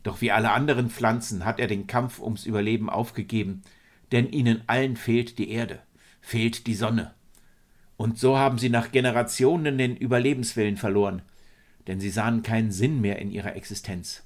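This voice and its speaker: A man's voice: fairly even intonation, normal speed, close to an everyday voice. A man voicing a serene, powerful being, part tree and part young woman, speaking solemnly and reassuringly in a story. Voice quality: deep, powerful.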